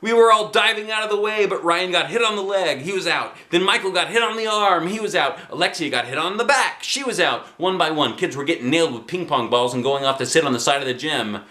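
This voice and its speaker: A man narrating a children's book aloud with an expressive, dramatic delivery. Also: on the high side, brisk, hardly any pauses. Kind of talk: animated children's storybook reading. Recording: echoey room.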